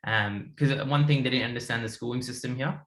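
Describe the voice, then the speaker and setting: Young man from India, bright tone, rambling delivery, roomy echo. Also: mid-range voice, fairly quick. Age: 20s. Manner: rambling, many restarts. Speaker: guest. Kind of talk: casual panel chat.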